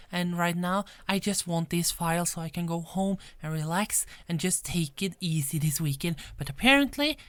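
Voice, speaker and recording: Woman playing a frazzled female detective, slightly low voice, unhurried, hesitant stammer, apologetic, ASMR roleplay, dry close sound. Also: a bit bright, no echo, close to an everyday voice. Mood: frustrated. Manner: hesitant, stammering, repeats words. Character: frazzled, apologetic female police detective, exasperated but friendly. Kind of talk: ASMR roleplay, one-sided dialogue.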